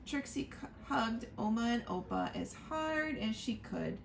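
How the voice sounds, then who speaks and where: A woman reading a picture book aloud to children, slow and expressive, pointing out the pictures as she goes. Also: a little high, gravelly, slight background noise. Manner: slow, expressive storytime reading, asking listeners to look at pictures.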